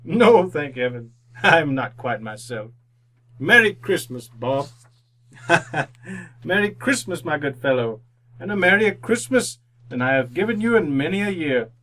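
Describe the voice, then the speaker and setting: A man playing a reformed old miser, giddy and generous, in a theatrical old-time radio drama style that swings from hushed to booming. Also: big pitch swings, unhurried, heavily acted. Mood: jubilant.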